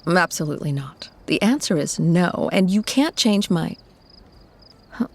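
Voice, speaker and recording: A woman playing a protective, possessive female vampire who speaks softly and tenderly to her mortal lover in an audio roleplay. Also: fairly low pitch, animated, measured pace, heavily acted. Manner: soft, soothing endearments, breathy, genteel. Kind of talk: scripted ASMR audio roleplay.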